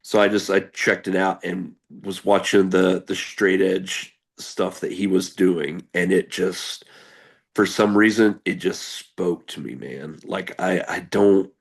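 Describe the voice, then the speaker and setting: Deep gravelly man, rambling interview guest. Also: fairly even intonation, slow pace. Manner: rambling with many fillers and restarts; candid, earnest. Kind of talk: casual interview chat.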